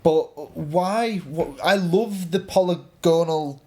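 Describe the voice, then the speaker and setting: A man's voice: fairly high pitch, slow, a little mellow. A man giving his opinions in a casual, conversational video game discussion.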